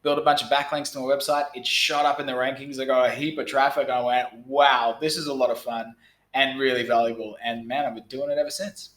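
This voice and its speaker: A man's voice: medium pitch, little pitch movement, brisk, a bit bright, echoey room. A man in his thirties from Australia with an Australian accent, a podcast guest talking bluntly and casually, often restarting his sentences. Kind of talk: casual interview talk.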